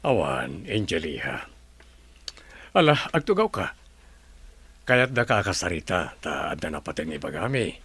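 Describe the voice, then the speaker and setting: An actor playing an apologetic, fatherly middle-aged uncle in a radio drama, earnest and gentle. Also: sits low, sing-song, moderate pace, pauses often, breathy edge, dry recording, heavily acted.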